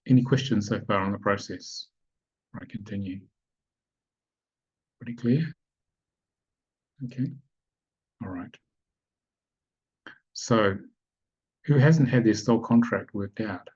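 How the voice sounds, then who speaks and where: A man hosting an online webinar, explaining calmly and checking in often with the people listening. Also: on the low side, slow, long pauses, slightly rough, highs cut off. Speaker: webinar host and spiritual teacher. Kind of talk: live online webinar teaching.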